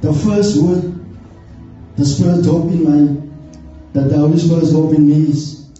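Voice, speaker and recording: A man's voice: a little high, steady tone, a little slow, warm tone, soft background music. A middle-aged man, a church elder, giving an earnest sermon with frequent amens, recorded from far off in a hall. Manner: earnest exhortation with frequent "amen" interjections. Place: stage in a church hall, indoors. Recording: muffled, echoey hall, distant from camera.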